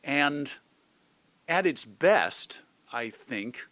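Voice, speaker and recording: A middle-aged man from suburban Chicago giving reflective, measured answers as an interview guest, carefully qualifying his points. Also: on the high side, expressive, sharp tone, dry recording. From suburban Chicago, United States. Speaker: podcast guest, magazine editor and radio host.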